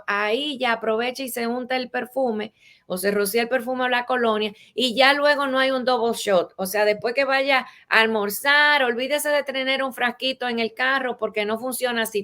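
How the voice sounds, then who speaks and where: A woman's voice: fairly high pitch, bright, forceful tone. A woman with a Latin American Spanish accent, speaking as an expert guest in an interview and explaining rules with practical examples in a didactic way.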